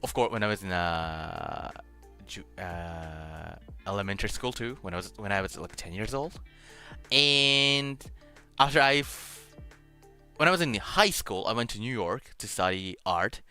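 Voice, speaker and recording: A man hosting a casual streamed chat, halting as he searches for words in a language that is not his native Japanese, sometimes switching to Spanish. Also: fairly low pitch, pushed and bright. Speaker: host of a streamed radio show. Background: music.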